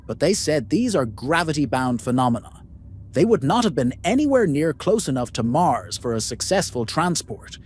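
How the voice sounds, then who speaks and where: Man acting a blunt, higher-voiced starship brother. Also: sing-song, moderate pace, a little hiss or hum. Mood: skeptical. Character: questioning, skeptical brother among a starship crew. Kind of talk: amateur sci-fi audio drama acting.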